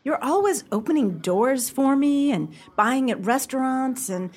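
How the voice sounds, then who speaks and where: A woman's voice: high voice, animated, measured pace, darkish tone. An actress playing a blunt, fiery young woman in a radio play, forthright and exasperated. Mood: exasperated, insistent.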